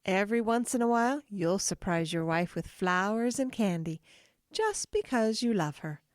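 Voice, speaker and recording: A woman's voice: mid-range voice, very animated, normal speed, no room sound, studio quality, lightly acted. A woman playing an insistent, sly wife in a comedic audio drama. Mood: smugly commanding. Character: bossy, sly wife of a travel agent.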